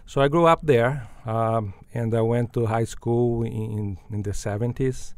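A man's voice: mid-range voice, steady tone, measured pace, a little mellow, dry recording, studio quality. An older man from Brazil, a guest answering interview questions thoughtfully, with frequent fillers and restarts. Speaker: guest, business school dean.

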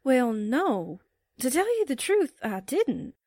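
A woman's voice: sits high, very animated, slow pace, dark tone, dry recording, studio quality, exaggerated voice. A woman playing a flighty, wisecracking newspaperwoman's sidekick in 1940s radio drama style, breezy and candid. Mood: sheepish, breezy.

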